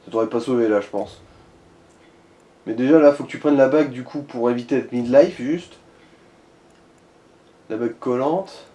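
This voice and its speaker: A man's voice: medium pitch, moderate pace, pauses often, mellow, roomy sound. A man giving casual gaming advice in a slangy, sometimes crude and hesitant way. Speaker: co-commentator advising the player. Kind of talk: casual gaming chat with tips.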